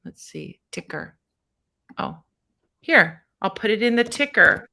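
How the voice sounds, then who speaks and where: Brief: woman host of a live tarot stream, bright voice, chatty and encouraging. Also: medium pitch, huge pitch swings, slow, vocal fry. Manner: chatty and encouraging, thinks aloud while fumbling with the stream setup. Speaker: tarot reader hosting a fundraiser stream.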